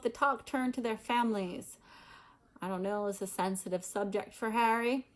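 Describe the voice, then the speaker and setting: A woman reading a storybook aloud expressively, as a storyteller who adds casual asides. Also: mid-range voice.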